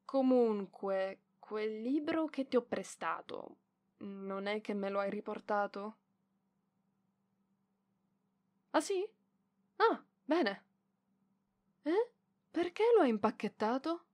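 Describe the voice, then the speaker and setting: A woman's voice: on the high side, animated, takes it slowly, darkish tone. A woman voicing a proud young librarian in an ASMR roleplay, defensive and bashful, with hesitant stammering. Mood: curious, hopeful. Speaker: voice actress.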